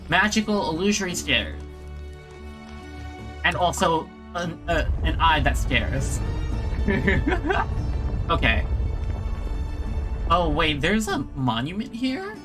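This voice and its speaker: Lively, higher-voiced man streaming a game. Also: slow, pauses often. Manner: rambling, talking to himself and viewers. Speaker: video game livestreamer. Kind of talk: casual gameplay commentary. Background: video game music.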